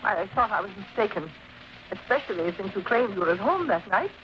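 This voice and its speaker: A woman's voice: medium pitch, lively intonation, fairly quick, close to an everyday voice. A woman playing an anxious, earnest figure in a family mystery, pleading dramatically in old-time radio style. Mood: uneasy, pleading. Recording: muffled, noisy 1940s radio recording.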